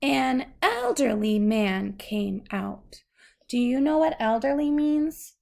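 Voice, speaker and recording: A woman's voice: high-pitched, sing-song, slow, gravelly, dark tone. A woman reading a story aloud to a young child, patiently explaining words in simple terms. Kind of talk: slow read-aloud storytelling with questions to a child.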